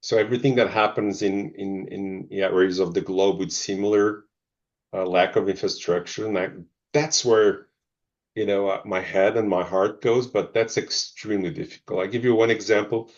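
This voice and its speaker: Deep gravelly man. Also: steady tone, moderate pace. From Zimbabwe, Portuguese family. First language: Portuguese. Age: middle-aged. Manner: hesitant, many ums and restarts. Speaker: pharma executive guest. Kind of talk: thoughtful interview answers. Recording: dull lo-fi audio.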